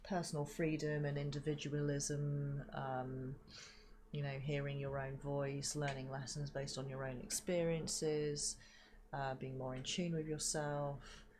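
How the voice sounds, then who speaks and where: A woman with a low, fairly monotone voice speaks at an unhurried pace. She gives a meandering spiritual reading full of fillers and the odd curse word. Her nose is stuffy and sniffly from hay fever. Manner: meandering, halting with many fillers, casual swearing. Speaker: spiritual reader. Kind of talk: rambling spiritual reading to viewers.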